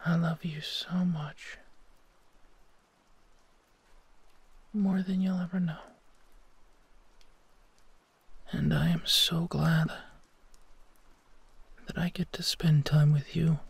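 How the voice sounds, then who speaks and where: Guy doing a gentle werewolf boyfriend, breathy and slow, soothing bedtime roleplay voice right up close. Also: fairly high pitch, little pitch movement, no echo, exaggerated voice. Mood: loving, sleepy. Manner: soft, soothing, hesitant pauses, often drops to whispering. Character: gentle protective male werewolf boyfriend comforting his partner. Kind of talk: intimate roleplay audio, sleep-aid.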